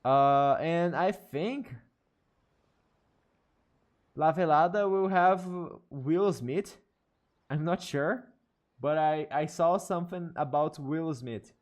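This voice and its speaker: A man's voice: on the high side, takes it slowly, pauses often. A man with a Brazilian accent, a native Portuguese speaker, chatting casually as a game streamer and often repeating words. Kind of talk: casual live stream chat. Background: game music.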